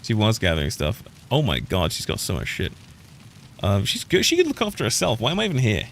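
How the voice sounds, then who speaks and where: A man's voice: fairly low pitch, huge pitch swings, brisk, vocal fry, a bit bright, slight background noise. A British man streaming a game, rambling opinionated commentary that keeps trailing off and restarting. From United Kingdom. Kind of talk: casual gameplay commentary.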